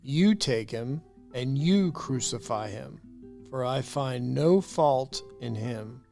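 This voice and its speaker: A woman's voice: very deep voice, lively intonation, takes it slowly, gravelly, warm tone, faint music behind, pitched below the performer's own voice, exaggerated voice. A woman voicing a grave, uneasy Roman governor.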